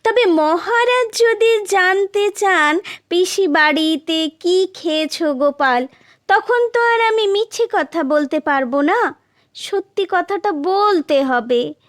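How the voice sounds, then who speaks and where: Wily courtier from a folktale, performed by a woman: flattering, falsely humble, unhurried delivery, very high pitch. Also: no room sound, clean studio sound, full character voice. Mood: sly, coaxing. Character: wily male trickster courtier, feigning humble piety.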